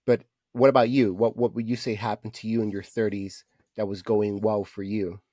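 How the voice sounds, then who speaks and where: A man's voice: lowish voice, little pitch movement, normal speed, warm tone, dry recording. Casual man in his thirties, dry close sound. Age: 30s. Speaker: podcast co-host. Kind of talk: casual chat.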